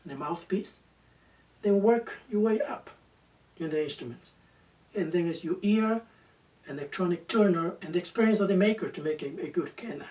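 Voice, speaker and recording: A man whose native language is Spanish, a quena player explaining eagerly in a documentary and often restarting words. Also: high-pitched. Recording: distant mic with loud music behind.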